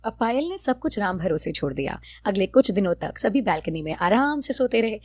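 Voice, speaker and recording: A woman's voice: mid-range voice, big pitch swings, brisk, a little mellow, dry recording. A woman narrating a scripted Hindi cartoon story as the storyteller.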